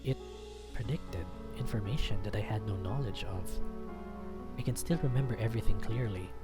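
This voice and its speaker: A man's voice: fairly low pitch, normal speed, loud music behind the voice. A man reading a visual novel aloud as dramatic first-person story narration.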